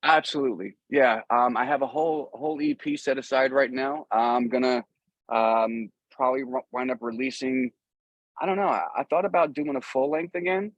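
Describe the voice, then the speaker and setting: A man's voice: mid-range voice, expressive, moderate pace, creaky, no echo. Dull-sounding man chatting as a guest. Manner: rambling, informal, slangy. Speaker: interview guest, musician. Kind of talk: casual conversational interview.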